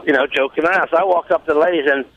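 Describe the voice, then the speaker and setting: Man, slightly high voice, radio guest, rambling casual storyteller, quotes dialogue, dry sound. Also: racing, dry recording, narrow, tinny audio. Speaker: show guest telling a story. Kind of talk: casual comedic anecdote on talk radio.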